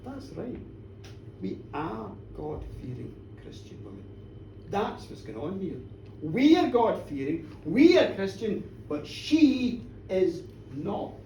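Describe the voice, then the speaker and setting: A storyteller voicing a self-righteous village woman who accuses others in a slow, pointed, sanctimonious way. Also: mid-range voice, big pitch swings, mellow. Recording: roomy echo, distant mic, faint noise.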